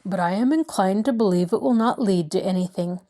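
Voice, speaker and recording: A woman voicing a courteous middle-aged male lawyer who speaks in a measured, reassuring way. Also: medium pitch, mellow, lightly acted. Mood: calm.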